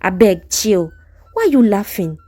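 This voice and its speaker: Storyteller woman playing greedy young female scammer, Nigerian accent, cocky, persuasive, lively swooping pitch, folktale. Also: measured pace. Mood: amused defiance.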